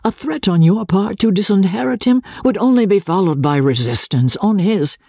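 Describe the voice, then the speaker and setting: A man voicing a shy, earnest young army captain who speaks gravely and respectfully to an older man. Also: sits high, animated, normal speed, mellow, no echo, studio quality, voice pushed up, heavily acted.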